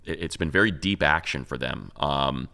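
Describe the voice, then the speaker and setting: Deep-voiced man talking sports. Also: moderate pace, creaky, pushed and bright. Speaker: sports show co-host and analyst. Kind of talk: casual sports talk analysis.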